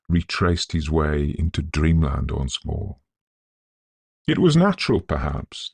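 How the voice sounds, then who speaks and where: A man reading a Victorian ghost story as an audiobook, in a measured, scholarly and atmospheric dramatic manner. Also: very deep voice, a little mellow, no room sound. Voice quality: gravelly, husky. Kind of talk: scripted dramatic audiobook narration.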